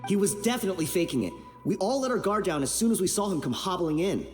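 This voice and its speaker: A bitter desert brigand from a video game, with a rather high, animated voice. He sounds scornful and dismissive, and faint music runs underneath. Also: quick pace.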